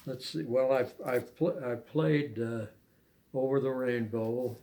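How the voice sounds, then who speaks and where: An older man, an amateur poet, speaks in an unhurried, halting way as he reads verse and reminisces. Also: medium pitch, dark tone. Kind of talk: poetry reading and casual reminiscing.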